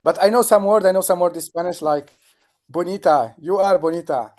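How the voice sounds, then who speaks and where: Man speaking halting English. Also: high voice, darkish tone. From Tunisia. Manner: halting English, many restarts. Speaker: guest participant. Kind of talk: casual chat.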